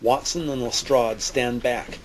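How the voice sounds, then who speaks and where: A man narrating a scripted audio drama in a measured, dramatic manner. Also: mid-range voice, fairly even intonation, noisy background.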